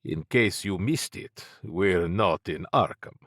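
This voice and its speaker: Man reading as cagey wealthy gentleman, audiobook dialogue, light dismissive manner, lowish voice, dry sound. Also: animated, slow, no room sound, heavily acted. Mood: light, wry. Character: cagey, cheerful wealthy expatriate man, cigar smoker.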